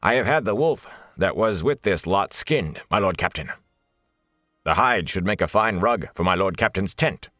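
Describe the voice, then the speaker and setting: A man voicing a gaunt, rigid soldier in an audiobook, with a hard, grating voice and a flat, clipped military delivery. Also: sits low, normal speed, dry recording, clean studio sound, faint music behind, voice pushed up. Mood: stiff, emotionless. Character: gaunt, rigid, hard-bitten soldier reporting to his captain.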